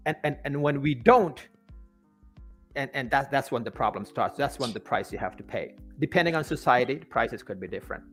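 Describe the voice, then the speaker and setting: A man's voice: mid-range voice, expressive, fairly bright tone, faint music behind. A man from Afghanistan, a native Persian speaker, telling his story as an interview guest in an earnest way, often repeating words as he searches for the next. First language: Persian (Farsi). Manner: halting, stammering repetitions of words.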